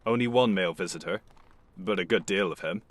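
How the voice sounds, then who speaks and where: A man's voice: low voice, a little fast, lightly acted. A man playing a blunt, cheeky young coachman with a working-class London accent in an audio drama. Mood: gossipy. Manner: blunt, colloquial. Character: young male coachman, cheeky, gruff, loyal servant.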